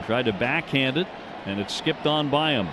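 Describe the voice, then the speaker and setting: A man's voice: medium pitch, moderate pace. Male baseball radio announcer over stadium noise. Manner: descriptive, clipped phrases that follow the action. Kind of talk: live play-by-play commentary. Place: ballpark broadcast position. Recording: noisy outdoor stadium ambience. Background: steady outdoor stadium noise.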